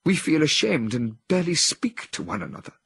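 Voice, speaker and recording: A man's voice: a little high, sing-song, brisk, vocal fry, fairly bright tone, husky, no echo, pitched above the performer's own voice, heavily acted. A man voicing a frightened woman speaking in anguish under hypnosis, in an audiobook reading. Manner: anguished, sighing.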